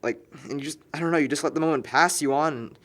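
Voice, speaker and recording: A man's voice: fairly high pitch, fast, a bit bright, close to an everyday voice. Slangy, talkative young man played by an actor. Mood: wistful. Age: young adult. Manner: slangy, rambling, emphatic storytelling. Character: wry, talkative young man confiding in a friend. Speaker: actor in a scripted film. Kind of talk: naturalistic buddy dialogue.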